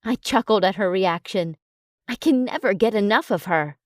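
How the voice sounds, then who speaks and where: A woman narrating an audiobook in a calm, even storytelling manner. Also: medium pitch, moderate pace, no room sound, clean studio sound. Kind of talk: audiobook narration of a romance novel.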